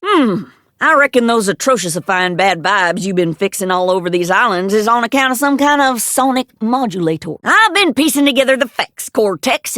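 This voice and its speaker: An actress playing a folksy, plainspoken adventure guide in a cartoon game, with a drawling American Southern accent. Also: female voice, a little high, a bit bright, dry recording, studio quality. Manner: folksy, drawling, colourful country sayings. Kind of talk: cartoon video game voice acting.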